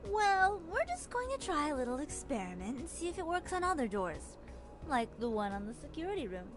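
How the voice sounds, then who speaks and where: High-voiced, bossy, scheming young woman. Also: sing-song, fairly quick. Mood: confident, scheming. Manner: teasing, confident, commanding. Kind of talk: visual novel game voice acting. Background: game music.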